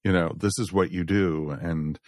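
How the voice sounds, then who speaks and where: A man's voice: low-pitched, steady tone, normal speed, darkish tone, dry recording, clean studio sound. A man chatting casually as a panelist on a review podcast, giving his opinions in everyday talk. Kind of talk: casual chat.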